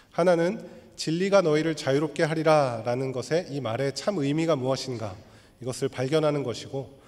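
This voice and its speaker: A hoarse man preaches from the pulpit, walking his listeners through a passage in a steady, explanatory way. His voice sits a little high for a man. The sound rings out with the long reverb of a church sanctuary. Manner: measured, explanatory, leads congregation in reading. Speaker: pastor. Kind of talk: sermon.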